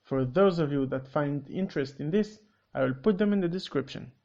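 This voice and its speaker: A man's voice: fairly high pitch, a little fast, creaky, darkish tone, highs cut off. A man whose first language is Hebrew, hosting a commentary video with curt, corrective, matter-of-fact scripted narration. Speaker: commentary host.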